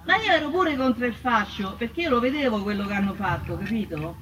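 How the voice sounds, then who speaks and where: A woman's voice: a little high, animated, fairly quick, a bit bright, a little hiss or hum. An actress playing an embittered working-class Roman wife, telling her testimony in colloquial, emphatic dialect with repeated words. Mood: emphatic, bitter. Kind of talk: theatrical first-person testimony. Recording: dull, distant stage sound with music.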